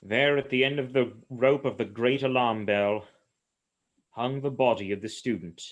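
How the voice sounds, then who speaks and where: A man's voice: medium pitch, moderate pace, lo-fi sound, pitched below the performer's own voice, lightly acted. A man playing a grave, horror-struck narrator of a gothic radio play, speaking solemnly and dramatically. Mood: grim, horrified.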